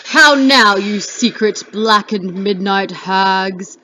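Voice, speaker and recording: A woman's voice: medium pitch, slow pace, pushed and bright. A woman playing a commanding, desperate man who declaims insistently in a dramatic Shakespeare reading. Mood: urgent, demanding.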